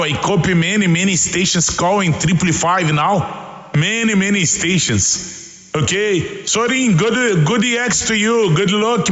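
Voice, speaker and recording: A Brazilian man, a native Portuguese speaker, making ham radio calls in effusive, repetitive, halting English. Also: high-pitched, sharp tone. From South America. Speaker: amateur radio operator. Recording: echoey, long reverb voice audio.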